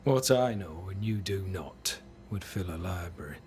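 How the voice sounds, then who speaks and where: Low-voiced, proud, bitter captain. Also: male voice, expressive, unhurried, darkish tone, soft background music. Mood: defiant. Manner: solemn, theatrical. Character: proud, bitter military captain, self-righteous and fatalistic. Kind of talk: dramatic game cutscene acting.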